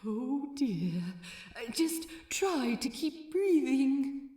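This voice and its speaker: Woman voicing flustered, fretful, very proper gentleman. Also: high-pitched, sing-song, drawn-out words, voice pushed up, full character voice. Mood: anxious, flustered. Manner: hesitant, polite, anxious. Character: flustered, fretful, politely proper man, Aziraphale.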